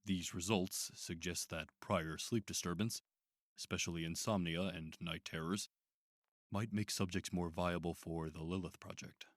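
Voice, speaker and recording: A man acts out a clinical, detached institute director for a radio play. His voice is deep and closely recorded with little room sound. He delivers case notes in a formal, measured manner. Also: fairly even intonation, dry recording, clean studio sound, natural voice. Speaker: doctor and chief executive of a clinic. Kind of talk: radio drama acting, dictated case notes.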